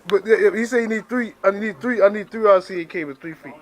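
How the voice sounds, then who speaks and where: A man's voice: high-pitched, quick pace. A man talking casually in street slang as a customer, repeating himself as he protests or placates. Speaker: customer being pranked.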